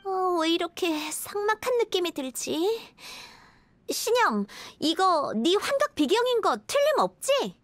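A woman's voice: very high-pitched, big pitch swings, unhurried, no echo, exaggerated voice. A woman playing an earnest, composed, articulate young woman astrologer in a video game. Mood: puzzled.

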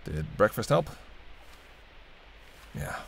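A man's voice: extremely slow, slight background noise. A man playing a sardonic, teasing vampire in an audio roleplay, talking casually and wryly to the listener as a reluctant companion. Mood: wry, conciliatory. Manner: wry, bantering, casual, muttered asides. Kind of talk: intimate audio roleplay acting addressed to the listener.

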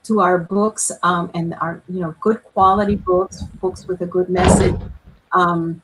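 A woman's voice: fairly low pitch, fairly even intonation, unhurried, a bit bright, husky, poor audio. A woman, an author and former librarian, answering a panel question over a video call in an earnest, thorough way with self-deprecating asides. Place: home room on a video call.

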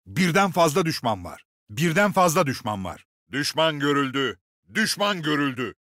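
An actor playing a gruff, middle-aged veteran commander in a video game, barking short, authoritative orders with dry swagger. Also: male voice, a little high, sing-song, moderate pace, a bit bright, dry recording. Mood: alert, commanding. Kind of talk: video game voice lines, short military barks.

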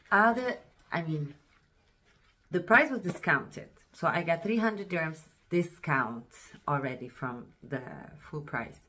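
Lady vlogging in a mall, low-ish voice, slow chatty talk, lots of noise around. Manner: chatty, excitable, self-mocking. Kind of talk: casual shopping vlog. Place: shopping mall. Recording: noisy mall background.

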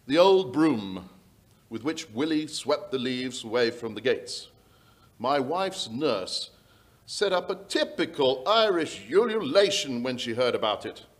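A man's voice: mid-range voice, a bit bright. A middle-aged man playing a worried, polite aristocratic landowner, telling his tale hesitantly in old-time radio style. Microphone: shared stage microphone.

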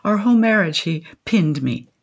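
A woman's voice: medium pitch, expressive, moderate pace, lo-fi sound. An older woman playing a brazen, cranky widowed grandmother in a comic radio play, acerbic, theatrical and contrary. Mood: lurid, brooding. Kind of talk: comic audio drama acting.

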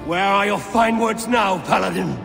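A woman's voice: mid-range voice, moderate pace, vocal fry. An actress voicing a fierce, defiant Viking warrior woman in a video game, speaking bluntly. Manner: defiant, blunt, shouting threats. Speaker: game hero. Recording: echoey game audio with music.

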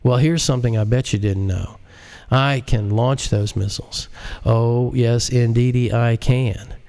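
A man voicing a smug, menacing male computer genius, calm, deliberate and condescending, in an audiobook. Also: mid-range voice, creaky. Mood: smug menace. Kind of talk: audiobook character acting.

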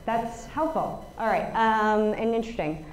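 An energetic woman with a fairly high voice gives a conference talk in a large open event space. She has no working mic, so a far camera picks her up from across the room, and she keeps asking the audience questions. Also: unhurried, slight background noise. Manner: energetic, lots of fillers, asks the audience questions. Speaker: guest speaker, statistician. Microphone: no working mic, room pickup from a far camera.

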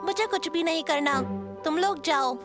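A woman's voice: very high voice, normal speed. A woman voicing a proud, touchy young boy tiger cub who boasts and sulks in a cartoon dub. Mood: boastful. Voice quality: boastful, excitable. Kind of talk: dubbed cartoon voice acting. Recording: studio voice with music behind.

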